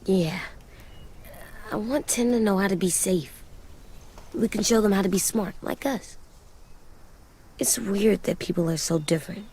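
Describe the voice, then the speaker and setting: A woman playing an earnest, brooding young boy survivor in a video game drama, speaking haltingly in plain words with a childlike, husky voice. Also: medium pitch, mellow. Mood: earnest, puzzled. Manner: halting, slow, plain-spoken.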